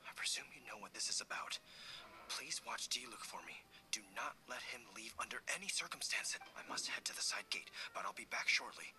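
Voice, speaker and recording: Sharp-voiced, flustered knight. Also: male voice, medium pitch, lively intonation, fairly quick, whispered. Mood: conspiratorial whisper. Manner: stammering, deferential, conspiratorial. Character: flustered, secretive armored knight, young man. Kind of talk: video game voice acting.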